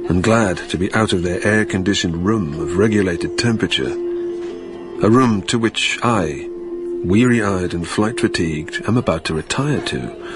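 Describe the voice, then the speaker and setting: A deep-voiced jaded frequent flyer tells his story in a radio play. He is measured and wry, lingering on repeated phrases, with music clearly behind him. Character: weary, flight-fatigued businessman narrating his haunted night. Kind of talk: scripted literary radio drama narration.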